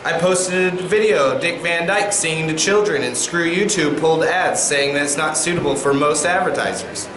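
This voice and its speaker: Aggrieved male protester, acted by a man, highish voice, angry, comedy sketch, echoey, music behind. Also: moderate pace, few pauses, noisy background, natural voice.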